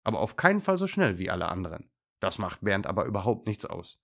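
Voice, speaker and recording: A male storyteller reads a scripted children's tale. His voice is low, with a distinct gravelly creak. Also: big pitch swings, fast talker.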